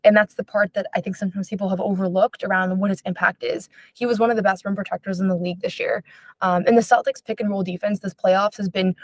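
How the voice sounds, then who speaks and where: A woman's voice: mid-range voice, fairly even intonation, no echo. A young woman born in Israel, a basketball reporter answering interview questions in a chatty way with many fillers. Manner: fast, chatty, lots of fillers like "um" and "like".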